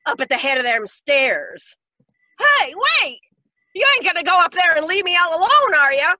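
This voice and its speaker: A woman's voice: very high-pitched, sing-song, moderate pace, pushed and bright, no echo, clean studio sound, full character voice. A woman playing a panicky, uneducated, brassy woman in old-time detective radio, with melodramatic, slangy working-class speech. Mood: panicked. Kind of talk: 1940s radio detective acting.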